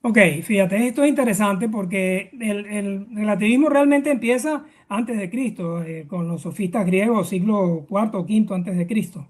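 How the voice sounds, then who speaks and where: A man's voice: sits high, moderate pace, few pauses, darkish tone. A middle-aged man with a Venezuelan accent, explaining things informally as an interview guest, hesitant and full of fillers and repeated words.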